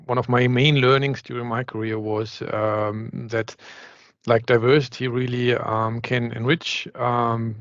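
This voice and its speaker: A man from Germany co-hosting a podcast, measured and full of fillers like um and like. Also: mid-range voice, little pitch movement, a bit bright, no echo, highs cut off. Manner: measured, filler-heavy, uses like and um. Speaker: podcast co-host. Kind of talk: casual panel discussion.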